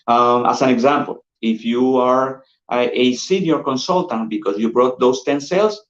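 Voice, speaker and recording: A man with a Colombian Spanish accent, a sales manager giving an energetic, persuasive pitch and calling his listeners "guys" over and over. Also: moderate pace. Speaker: insurance sales manager presenting. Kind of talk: upbeat sales pitch on a call. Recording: dull roomy lo-fi audio.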